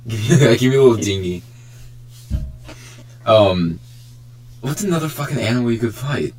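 A man's voice: mid-range voice, animated, unhurried, a little mellow, poor audio. A blunt, profane young man co-hosting a casual, joking podcast chat. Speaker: podcast co-host.

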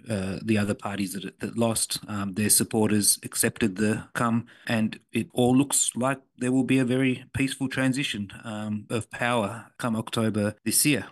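A man speaking in an earnest, measured way as a podcast co-host in a conversational discussion. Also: lowish voice, dry recording.

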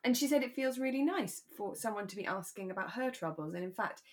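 A British woman co-hosting a podcast in a relaxed, chatty and reflective discussion of a radio drama. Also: mid-range voice, fast. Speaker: podcast co-host.

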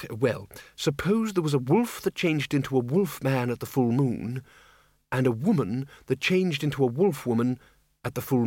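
A man voicing an elderly dead wizard who speaks softly and hesitantly, musing aloud. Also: high-pitched, dry recording, clean studio sound, pitched above the performer's own voice, exaggerated voice. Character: elderly dead male wizard, mild and thoughtful.

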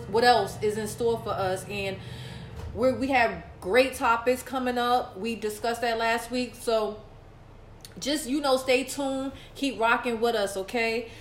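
A woman's voice: a little high, echoey room, slight background noise. A woman in her late thirties co-hosting a podcast, talking casually and saying "like" a lot. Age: 30s. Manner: chatty, repeats herself, says "like" a lot. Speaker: podcast co-host.

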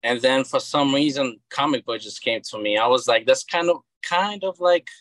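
A Brazilian man in his thirties speaks with a Brazilian Portuguese accent, a guest in a relaxed interview. His tone is bright and forceful, heard in a dry space without room echo. He stops and restarts his sentences often. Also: mid-range voice, moderate pace. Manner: hesitant, many repeated words and restarts. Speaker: guest, comic book creator. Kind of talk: casual interview chat.

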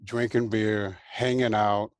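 A man in his sixties from Hawaii, raised in Southern California, telling his life story as a guest in a relaxed way, full of fillers. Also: on the low side, no room sound. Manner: relaxed, unhurried, many fillers and "you know". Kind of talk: casual interview storytelling.

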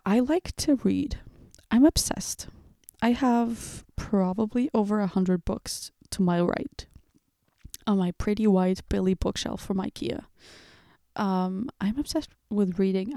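Chatty young woman, mellow and dry. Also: medium pitch, gravelly, dry recording. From Portugal. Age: 20s. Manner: chatty, self-mocking, laughs at own slips. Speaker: podcast host. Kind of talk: casual solo chat. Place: home room with bookshelf.

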